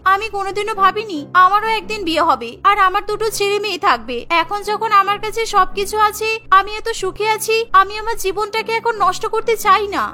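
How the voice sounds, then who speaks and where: Woman playing a very high, meek young daughter-in-law. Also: pushed and bright. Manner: submissive, pleading.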